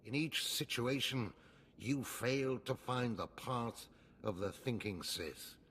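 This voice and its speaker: An actor playing a stern, haughty older Sith overseer in a video game, clipped, lecturing and condescending. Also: male voice, medium pitch, lively intonation, slow, breathy edge, full character voice. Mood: grave, lecturing. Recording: loud music behind.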